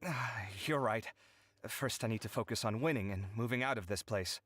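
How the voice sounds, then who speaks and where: A man's voice: lowish voice, normal speed, very noisy, close to an everyday voice. An actor playing a dramatic, earnest young man in an anime game, theatrical and articulate. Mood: focused. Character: dramatic young male architect, debt-ridden, earnest daydreamer. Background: game music.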